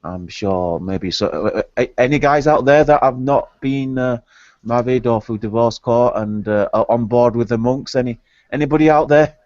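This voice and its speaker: A man taking part as a guest in a casual online discussion, talking in a halting, stammering, musing way. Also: medium pitch, a little mellow, dry recording. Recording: dry, dull lo-fi call audio.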